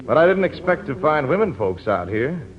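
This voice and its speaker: A man's voice: on the high side, big pitch swings, moderate pace, a crowd in the background. A man playing an easygoing gold prospector with a folksy drawl in an old-time radio musical drama. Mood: breezy, friendly. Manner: drawling, folksy frontier talk. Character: easygoing young male gold prospector wandering west in covered wagon. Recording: dull 1950s radio broadcast, music behind.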